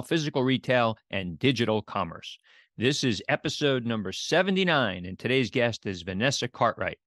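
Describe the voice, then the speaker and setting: A man hosting an interview podcast, presenting in a deliberate, announcer-like way. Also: mid-range voice, fairly bright tone, no echo, studio quality. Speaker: podcast host. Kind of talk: scripted podcast intro and interview.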